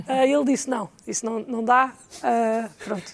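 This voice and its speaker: High, gravelly woman telling a story. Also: moderate pace. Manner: animated storyteller who acts out dialogue. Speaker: panelist. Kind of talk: casual comedy panel chat.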